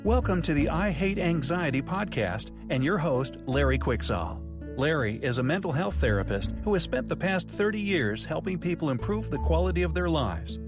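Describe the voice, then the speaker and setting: Lively male announcer over guitar music. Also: fairly high pitch, normal speed. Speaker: show announcer. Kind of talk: scripted intro voice-over.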